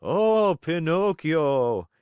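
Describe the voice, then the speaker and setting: A man's voice: high-pitched, sing-song, takes it slowly, gravelly, dry recording, voice pushed up, heavily acted. Guy doing whiny old fox, begging, stretches every word way out. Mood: tearful. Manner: tearful, pleading, drawn out. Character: old sly starving male fox beggar.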